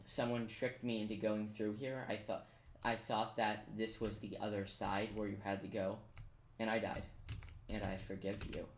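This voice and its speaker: A man giving a casual, step-by-step game walkthrough with playful asides. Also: fairly low pitch. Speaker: gamer giving a tutorial. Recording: roomy echo, noise, keyboard clicking. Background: computer keyboard typing and clicks.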